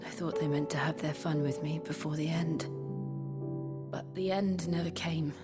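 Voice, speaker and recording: Woman acting young noblewoman turned warrior, British accent, lowish voice, measured and earnest, game cutscene, clear musical backing. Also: steady tone, natural voice. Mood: somber. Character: grave, tender young noblewoman, war captive turned warrior. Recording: music under the voice.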